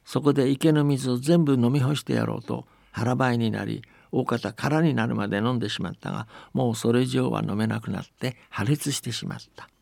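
A man's voice: medium pitch, brisk, creaky, a little mellow, dry recording. A man telling and explaining folktales conversationally, often checking that the listener agrees. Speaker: storyteller and folktale commentator.

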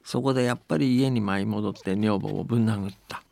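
A man's voice: lowish voice, fast, creaky, dark tone, dry recording. A man hosting a folktale programme, telling stories and commenting on them in a folksy, chatty way that seeks agreement. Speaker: host reading and explaining folktales on a radio programme. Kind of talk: storytelling and casual commentary.

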